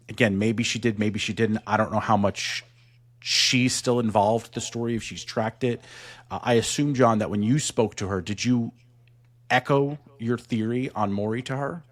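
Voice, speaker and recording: Low-voiced man musing in hedged questions. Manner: thoughtful, hedging, speculative questions. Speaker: podcast co-host. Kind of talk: casual podcast conversation.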